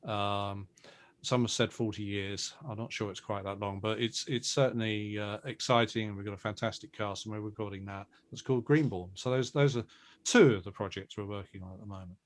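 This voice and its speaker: A man's voice: on the low side, fairly even intonation, brisk. A man speaking as a producer guest in a casual, conversational interview.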